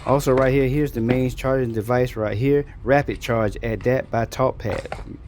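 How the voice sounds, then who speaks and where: A man's voice: mid-range voice, steady tone, moderate pace, background noise. A man giving a casual, folksy product walkthrough as a reviewer in an unboxing video.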